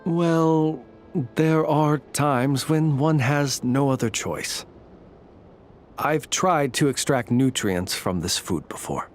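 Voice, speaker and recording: A man voicing a courteous, modest gentleman in a video game, speaking in a measured, polite way with wry understatement. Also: mid-range voice, slight background noise, close to an everyday voice. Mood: gently reflective. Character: courteous, gentle male lantern bearer, modest and wryly humorous. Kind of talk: video game character dialogue, storytelling.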